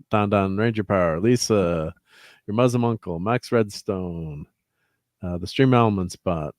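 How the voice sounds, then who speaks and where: A man with a low voice hosts a casual livestream chat. He rambles along reading off viewer names and laughs easily. The sound is close and dry. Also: no echo. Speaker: host.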